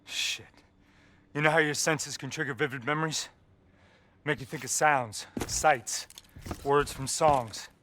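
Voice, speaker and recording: A man's voice: fairly high pitch, a little slow, pauses often, sharp tone, slight background noise. A man playing a brash, foul-mouthed soldier mentor in a video game, talking in a slangy, brotherly, swaggering way. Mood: reassuring, steady. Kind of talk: video game cutscene acting.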